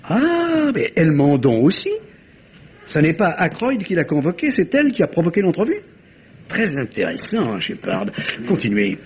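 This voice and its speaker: An actor playing a shrewd, courteous, theatrical Belgian detective in a 1950s-style French radio mystery, with suave, teasing phrasing and a husky voice. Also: male voice, a little high, wildly swooping pitch, moderate pace, lo-fi sound, heavily acted. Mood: intrigued, pleased.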